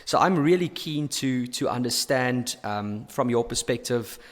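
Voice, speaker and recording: A man's voice: mid-range voice, expressive, unhurried, in a large hall. A man hosting a podcast who talks in an upbeat, effusive way, with hesitant restarts. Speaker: podcast host. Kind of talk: podcast interview.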